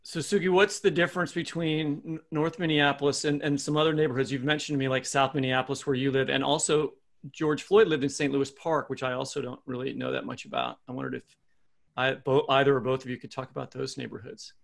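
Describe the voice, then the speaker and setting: A man co-hosting a podcast, asking questions in an informal, inquisitive and somewhat halting conversational way. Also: mid-range voice, creaky. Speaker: podcast co-host, interviewer. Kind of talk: conversational interviewing.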